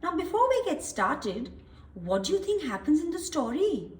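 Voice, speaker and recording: High, very animated woman storyteller. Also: moderate pace. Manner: explanatory, asks questions, emphasizes and repeats. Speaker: children's storyteller. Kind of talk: expressive read-aloud for young children.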